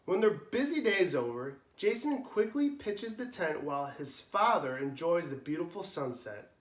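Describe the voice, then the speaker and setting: A young male preschool teacher reads a picture book aloud in a sing-song way. His voice sits fairly high and swings widely. The room has a clear echo. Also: very animated, moderate pace. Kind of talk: children's read-aloud.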